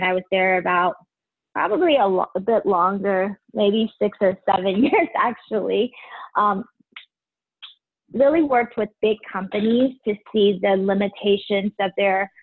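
A woman's voice: medium pitch, no room sound. Woman on a tinny phone line. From Taiwan. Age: 40s. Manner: soft-spoken with frequent ums and light laughs. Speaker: podcast guest. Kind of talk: casual interview chat.

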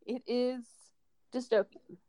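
A woman's voice: on the high side, steady tone, takes it slowly, dark tone, no room sound. A woman chatting casually and enthusiastically about books as a school librarian on a podcast. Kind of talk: casual book recommendation chat.

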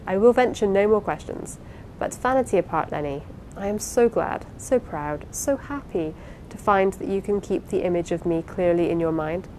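A woman's voice: a little mellow, close to an everyday voice. Woman playing a fiery, imperious young Victorian wife. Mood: doting, playful. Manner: imperious, effusive, emphatic. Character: Rosamond, vain, fond, fiery-tempered young wife. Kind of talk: dramatised audiobook reading of a Victorian novel. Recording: clear low rumbling background noise.

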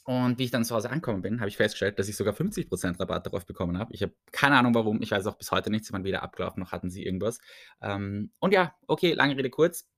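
A man's voice: fairly low pitch, expressive, very fast, fairly bright tone, no room sound, clean studio sound. A man with an Austrian accent hosting a solo podcast, chatty and self-mocking, laughing often as he rambles. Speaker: podcast host. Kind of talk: casual solo podcast chat.

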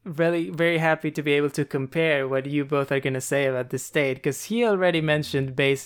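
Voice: medium pitch, brisk, smooth. A podcast host reading a rehearsed, upbeat introduction and then interviewing a guest.

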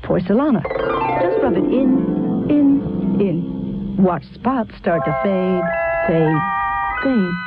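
A woman's voice: medium pitch, animated, takes it slowly, pauses often. A woman reading a scripted radio advertisement as an announcer. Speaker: commercial announcer. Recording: thin phone-like radio, loud music behind.

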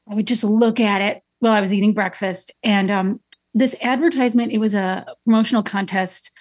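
A woman from Chicago, a guest artist, telling a story in an interview with many pauses and restarts. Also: mid-range voice, a little mellow. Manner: thoughtful, lots of ums and restarts.

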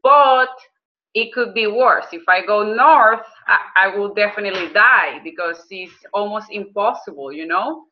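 A woman tells her story as an interview guest, earnest and halting, pausing on ums and restarting her sentences. Her voice sits in a middle pitch, and the recording sounds slightly dull and muffled. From Venezuela. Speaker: guest, health coach and business owner. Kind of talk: conversational interview.

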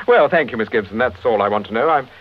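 An actor playing a suave, courteous English gentleman sleuth with a polished Received Pronunciation accent in a British radio drama. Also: mid-range voice, expressive, fairly quick, close to an everyday voice. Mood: polite, probing. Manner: polite, urbane. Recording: dull lo-fi vintage radio recording.

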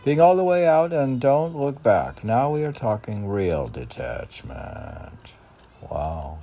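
A man's voice: medium pitch, very animated, slow, dark tone. A man reading his own poetry aloud and musing to himself between lines, often repeating phrases. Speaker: poet reading own work. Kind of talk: poetry reading with muttered asides.

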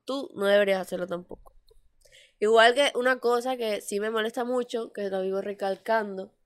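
Lady with a cold cohosting, stubborn, smooth clear voice. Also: medium pitch. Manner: insistent, stubborn. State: congested with a cold. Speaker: podcast co-host. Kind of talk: casual chat.

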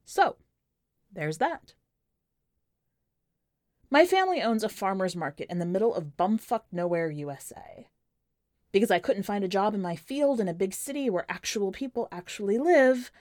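A woman's voice: medium pitch, very animated, normal speed, a little mellow, no room sound, studio quality. A woman hosting a podcast and reading a first-person short story in a wry, conversational and emphatic way. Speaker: podcast host and story reader.

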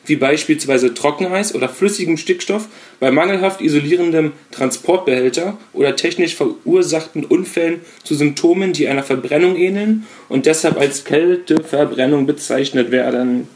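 A man's voice: a little high, moderate pace, hardly any pauses, a little mellow. A man from Berlin with no regional accent, chatting casually on a fan podcast and reading text aloud. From Berlin, Germany. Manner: laid-back, chatty, reads aloud.

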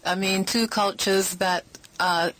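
A middle-aged woman, born in Britain, talking as an author guest on a radio talk show, telling her story in a lively way with frequent laughter. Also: mid-range voice, slow pace.

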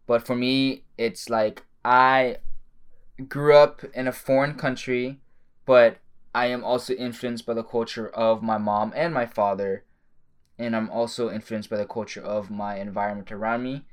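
A man's voice: mid-range voice, level tone, normal speed, clean tone. A young man who grew up in Thailand, chatting casually with his brother about his childhood, hesitant with many restarts and frequent laughs. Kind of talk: casual chat.

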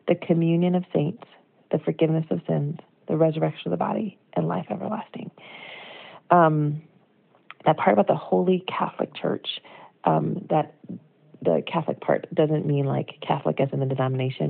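A woman's voice: low-pitched, vocal fry, breathy edge. An American woman giving an informal, reflective and earnest devotional in a conversational way, recorded as a phone voicemail. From United States. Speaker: devotional speaker. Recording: tinny narrow-band phone voicemail.

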